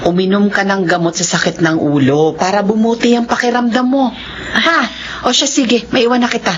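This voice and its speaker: A woman's voice: mid-range voice, fairly even intonation, moderate pace, a little hiss or hum, natural voice. An actress playing an easygoing, apologetic mother in a radio drama, with a coaxing, chatty manner. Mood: sheepish, affectionate. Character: easygoing, apologetic bingo-loving mother of grown children. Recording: muffled radio broadcast over faint music.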